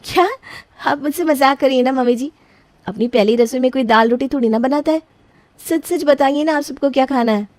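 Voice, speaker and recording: A woman's voice: sits high, brisk, darkish tone, no room sound, lightly acted. A woman voicing a young newlywed daughter-in-law who is flustered and put-upon, pleading and grumbling in a dry close recording. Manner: flustered, pleading, whiny complaining. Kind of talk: scripted family story voicing.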